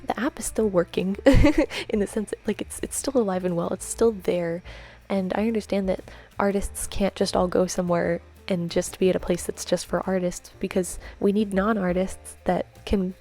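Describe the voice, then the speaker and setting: A young woman chatting and rambling while she draws, soft-spoken and giggly, her voice a little off from a cold. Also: medium pitch, steady tone, moderate pace. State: slightly congested from a cold. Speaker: art vlogger. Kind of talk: chatty voice-over while drawing. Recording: faint background music.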